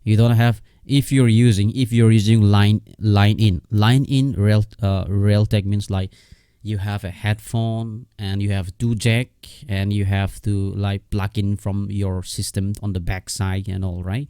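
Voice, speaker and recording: A man giving a casual, unscripted software tutorial in a halting, repetitive way, often checking in with his listener. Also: fairly low pitch, little pitch movement, smooth, no room sound, clean studio sound.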